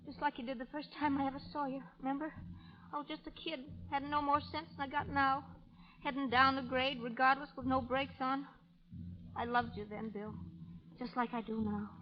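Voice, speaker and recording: A woman's voice: sits high, level tone, normal speed, a crowd in the background, natural voice. An actress playing a brash, lovelorn young frontier woman in an old radio drama, with folksy, unschooled Western speech. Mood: tender, pleading. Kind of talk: 1930s radio drama acting. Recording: muffled, noisy old radio recording.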